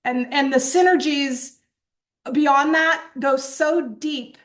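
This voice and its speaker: A woman coach presenting an online course, telling stories in an animated, rambling way with many fillers and restarts. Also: high voice, takes it slowly. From born in Japan, grew up in England. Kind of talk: enthusiastic webinar presentation.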